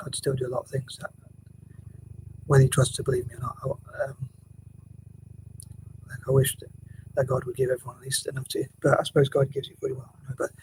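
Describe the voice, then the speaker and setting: A quiet-voiced man rambles on in a flat, unchanging monotone, words tumbling out quickly between hesitations and restarts. He often drops to a near whisper. A faint hum of room ambience sits behind him. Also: medium pitch. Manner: hesitant, mumbling, many restarts and fillers. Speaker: vlogger. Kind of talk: rambling casual vlog monologue. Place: home room, building work next door.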